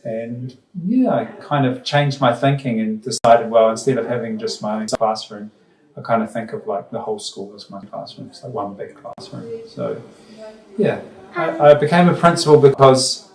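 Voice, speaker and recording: New Zealand man, echoey and far off. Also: mid-range voice. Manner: thoughtful, hesitant with many ums and restarts. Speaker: school principal. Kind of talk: casual interview answers. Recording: roomy sound from far off the mic.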